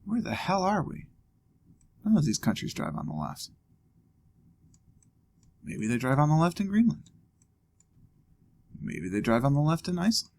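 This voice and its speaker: A man's voice: on the high side, very animated, normal speed, pauses often, a little mellow. A man casually thinking aloud while playing a geography guessing game. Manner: thinking aloud, musing and joking. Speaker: let's play host. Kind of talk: casual gameplay commentary.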